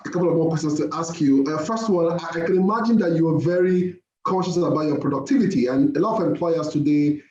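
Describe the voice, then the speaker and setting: A man hosting an interview, asking questions in halting, hesitant phrases. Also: fairly high pitch, warm tone. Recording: roomy, slightly dull audio.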